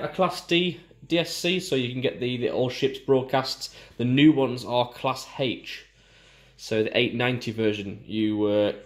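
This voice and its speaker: A man with a British accent presents a casual unboxing review. He talks informally, explaining each part as he goes. His pitch sits in an ordinary middle male range. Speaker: product reviewer.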